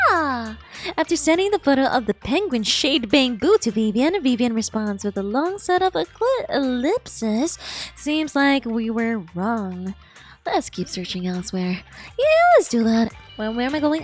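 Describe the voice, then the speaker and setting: A high-voiced woman game streamer with very lively intonation. She is playful and expressive, switching between reading game text aloud and reacting, with soft music behind her. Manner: playful, expressive, cutesy exclamations.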